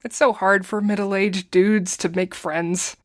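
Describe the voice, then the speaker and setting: An actress playing a playful, meddling, romcom-obsessed sister in an audio drama, chatty, sassy and casually profane. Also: female voice, medium pitch, steady tone, takes it slowly, dry recording, studio quality, close to an everyday voice. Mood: excited, scheming. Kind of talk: audio drama acting with narration to listener.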